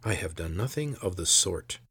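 A man's voice: fairly low pitch, animated, unhurried, slightly rough, no room sound, heavily acted. A man voicing a wise, steadfast old man with an earnest, firm manner in a fantasy story. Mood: firm, defiant.

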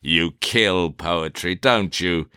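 A man playing a cool, probing interrogator in a theatrical drama, questioning in a formal, deliberate, insinuating way. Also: sits low, pushed and bright, smooth, no room sound, clean studio sound, heavily acted. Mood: accusing.

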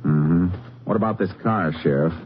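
An actor playing a calm, laconic Texas Ranger investigator in a 1950s radio drama, terse and methodical. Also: male voice, low voice, moderate pace, soft background music, close to an everyday voice. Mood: businesslike. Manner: terse, methodical, matter-of-fact. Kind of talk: 1950s radio drama acting and case narration. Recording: dull old radio recording.

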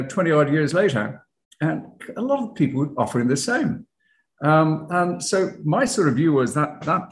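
An Irish man, a company founder, explaining his business in a conversational interview with frequent ums and restarts. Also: mid-range voice. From Ireland. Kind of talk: casual interview talk. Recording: roomy echo.

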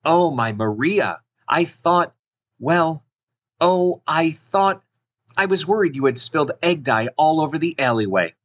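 A man voicing a young girl's caring, anxious mother in a children's storybook, fretful and halting. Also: a little high, lively intonation, voice pushed up. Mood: worried.

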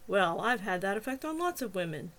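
A woman's voice: medium pitch, sing-song, a little fast, fairly bright tone. A woman voicing a persistent, teasing male police officer in an audiobook, in a coaxing, persuasive manner. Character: persistent, teasing male cop, cajoling and reasonable. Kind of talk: audiobook character dialogue.

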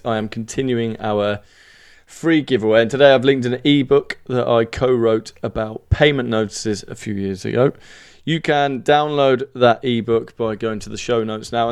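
Male host, Brummie accent, mid-pitched and crisp, chatty and informal podcast delivery. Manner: informal, self-deprecating.

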